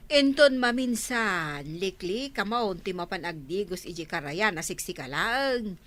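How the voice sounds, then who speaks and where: An actress plays a woman village councillor with an Ilocano accent. Her voice is bright and forceful at a middling pitch. She admonishes in the style of a radio drama. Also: lively intonation, a little slow. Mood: scolding. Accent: Filipino, Ilocano. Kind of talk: audio drama acting.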